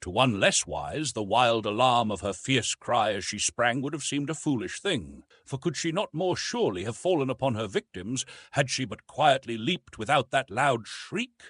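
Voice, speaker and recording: A man reads a classic adventure story as an audiobook narrator. His mid-pitched voice swings widely and expressively through the measured, dramatic prose. The tone is husky, and the recording is close and dry. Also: no echo. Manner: expressive, measured literary reading.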